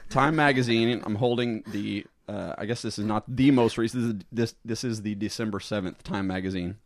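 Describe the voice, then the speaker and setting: A man's voice: on the low side, a little fast, no echo, studio quality. A man in his late thirties hosting a casual group chat, rambling and restarting his sentences. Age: late 30s. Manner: rambling, with many restarts and fillers. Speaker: podcast host.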